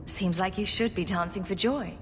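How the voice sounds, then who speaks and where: An actress playing a steady female warrior hero, calm and gently teasing. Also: medium pitch, moderate pace, a little hiss or hum, lightly acted. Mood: tender. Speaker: player hero. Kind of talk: video game voice acting. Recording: long reverb under game music.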